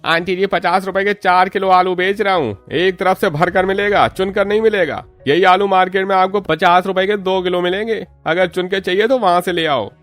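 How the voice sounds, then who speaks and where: A man's voice: high voice, steady tone, moderate pace, hardly any pauses, a bit bright, no echo, soft background music. A man voicing a blunt, no-nonsense street vegetable seller hawking in a cartoon.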